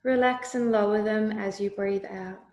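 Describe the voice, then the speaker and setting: A woman leading a guided meditation with calm, soothing, deliberate instructions. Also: medium pitch. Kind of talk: slow guided meditation narration. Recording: roomy, echoey voice recording.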